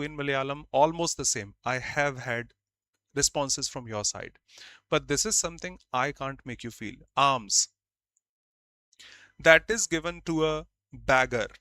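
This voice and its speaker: A man's voice: on the high side, bright, forceful tone, no room sound. A man from India, a Hindi speaker, teaching an online grammar class and stressing key words by repeating them.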